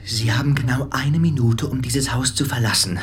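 An actor playing a burly, stern rival in a radio play, speaking formally and firmly. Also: male voice, medium pitch, fairly even intonation, normal speed, a little hiss or hum, natural voice. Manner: formal, firm. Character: burly, stern male rival ordering an intruder out.

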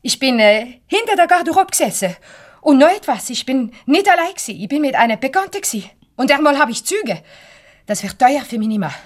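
Radio comedy role: haughty wronged wife, high voice, French accent, imperious, groping for words. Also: female voice, big pitch swings. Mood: accusing. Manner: imperious, halting, searching for words. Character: jealous, indignant boss's wife, haughty and wounded. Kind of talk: Swiss comedy radio play acting.

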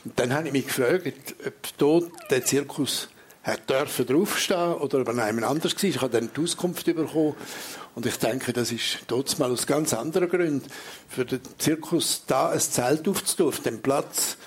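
A man's voice: medium pitch, normal speed, a little hiss or hum. An older man with a Swiss German accent, a writer on a live stage talk show, reminiscing in a reflective way and searching for words. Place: theatre stage before an audience.

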